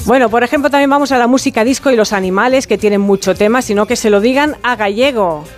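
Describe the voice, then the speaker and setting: A woman's voice: fairly high pitch, quick pace. A woman with an accent from Spain giving jokey, chatty music commentary with a theatrical touch, like a radio segment. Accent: Spanish, from Spain. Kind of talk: playful, conversational music commentary. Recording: music playing behind. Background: disco music.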